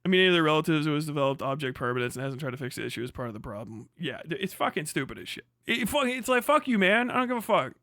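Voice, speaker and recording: A man's voice: fairly high pitch, very animated, very fast, hardly any pauses, a bit bright, dry recording, clean studio sound. A man hosting a live stream, ranting heatedly and profanely with frequent laughs. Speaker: streamer host.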